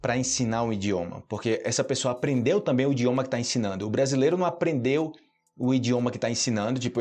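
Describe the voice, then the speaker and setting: A man's voice: medium pitch, darkish tone. Casual Brazilian man teaching. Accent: Brazilian Portuguese. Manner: chatty, checks in often with tag questions. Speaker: Portuguese teacher. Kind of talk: casual explanatory lesson.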